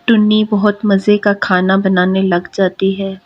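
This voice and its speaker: A woman narrating a scripted children's story in a simple, deliberate way. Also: mid-range voice, little pitch movement. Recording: muffled lo-fi audio, loud music behind.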